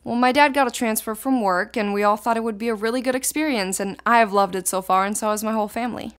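A woman's voice: on the high side, fast, clear, resonant tone. A teenage girl from Israel, a native Hebrew speaker, answering interview questions in casual, thoughtful sentences. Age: teens.

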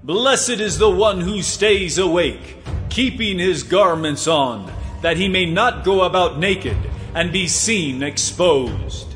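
Casting: solemn divine Lord, high male voice, scripture drama over music. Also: lively intonation, takes it slowly, a bit bright, full character voice. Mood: solemn warning.

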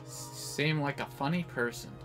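A man's voice: medium pitch, normal speed, music in the background. A man giving casual, rambling live game commentary in an offhand way. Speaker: gameplay host.

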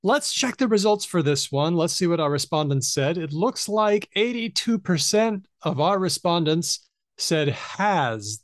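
A man's voice: on the high side, no echo, studio quality. A man co-hosting a live show on a video call, reading poll results and asking the guest questions in a chatty way. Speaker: podcast co-host.

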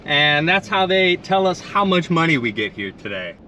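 A woman's voice: sits low, sing-song, normal speed, sharp tone, highs cut off, slight background noise. A woman hosting a vlog, chatting upbeat and directly to her viewers from a truck at a scrapyard. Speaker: vlog host. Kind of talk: casual vlog narration to viewers.

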